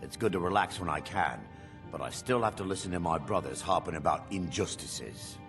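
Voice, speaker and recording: A man's voice: deep voice, brisk, over music. A man playing a weary, grumbling farmhand in a fantasy game, plain-spoken and complaining. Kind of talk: fantasy video game acting.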